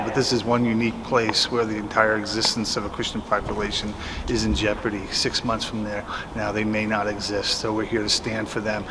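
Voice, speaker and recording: A man from the United States speaking in a steady, plain-spoken way at an outdoor rally, with some hesitant fillers. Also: fairly low pitch, background noise. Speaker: rally participant. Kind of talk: earnest appeal to camera.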